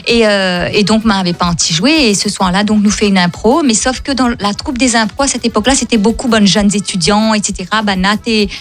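A woman's voice: medium pitch, a little fast, hardly any pauses, soft background music. A woman from Réunion with a Réunionese accent, Creole as her first language, chatting as a comedian guest and telling stories with lots of repetition and laughter. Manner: lively, repetitive, laughs often. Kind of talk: casual storytelling interview.

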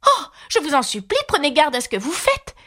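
A woman's voice: sits high, sing-song, normal speed, voice pushed up. A woman voicing a polite, timid and curious young girl in a storybook reading. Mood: alarmed. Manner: polite, earnest, anxious. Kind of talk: audiobook character voice.

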